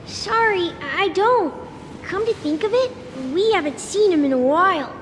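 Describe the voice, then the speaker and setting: A woman's voice: very high voice, animated, measured pace, a little hiss or hum. A woman voicing an eager, proud young child in a video game, earnest, chatty and childlike. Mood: sheepish, curious. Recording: reverberant hall, loud music behind.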